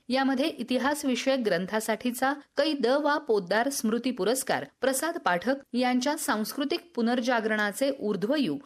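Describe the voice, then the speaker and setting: A woman newsreader delivers scripted radio news. Her voice sits fairly high and moves with very lively intonation from phrase to phrase. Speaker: news presenter.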